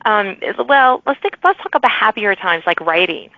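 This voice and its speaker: A woman's voice: medium pitch, expressive, moderate pace, no room sound. A woman hosting a podcast interview, chatting in a rambling way with many restarts. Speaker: podcast host. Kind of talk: casual interview chat. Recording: dry, dull lo-fi audio.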